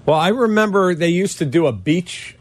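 A man's voice: high voice, lively intonation, normal speed. A man co-hosting a sports radio show, dropping short, dry remarks in casual banter.

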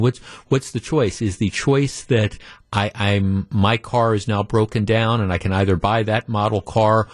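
Male talk radio host, low register, dry studio sound, rambling and conversational. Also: no room sound. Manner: conversational, many restarts and fillers; reads listener emails aloud.

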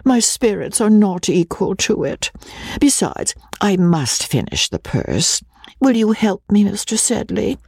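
A man voicing a coy, flattering young woman in a storybook, gushing and sighing with affected sweetness. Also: high voice, a little slow, vocal fry, breathy edge, no echo, studio quality, pitched above the performer's own voice, heavily acted. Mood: sweetly plaintive.

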